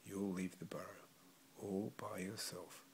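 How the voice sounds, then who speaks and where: Man playing a father puffin, protective, patient, encouraging, slow creaky storybook voice. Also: low voice, level tone, a little mellow, slight background noise. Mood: reassuring. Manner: patient, measured, reassuring. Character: protective, encouraging adult male puffin parent. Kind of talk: storybook character voice.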